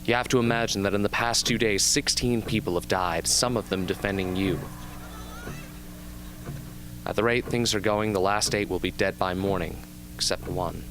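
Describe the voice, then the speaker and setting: A man playing a young, haunted but earnest arena survivor with intense, deliberate delivery in an amateur radio drama. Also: lowish voice, fairly even intonation, background noise, natural voice. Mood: haunted, reflective. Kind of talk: amateur radio drama acting, interview scene.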